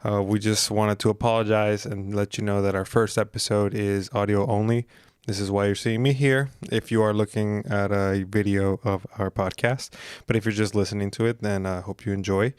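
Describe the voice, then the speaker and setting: Laid-back man in his twenties, smooth voice. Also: fairly low pitch, no echo. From Maryland, United States. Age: 20s. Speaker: podcast co-host. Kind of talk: casual chat.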